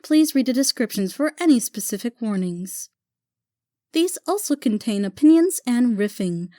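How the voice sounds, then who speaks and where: Female announcer, scripted disclaimer read, mellow tone, dry studio-like sound. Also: fairly high pitch, expressive, normal speed, dry recording. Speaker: announcer reading a content disclaimer.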